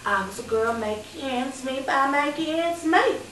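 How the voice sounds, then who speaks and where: A woman performing a stage monologue as a sassy, candid retired call girl who reminisces fondly with playful, dramatic pauses. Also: sits high, expressive, a bit bright. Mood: coy, confiding. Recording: echoey room, distant mic, background noise.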